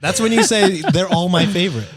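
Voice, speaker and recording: A man's voice: high-pitched, a little fast, a crowd in the background. Young man, podcast co-host, playful, jokey, casual banter. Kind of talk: casual chat.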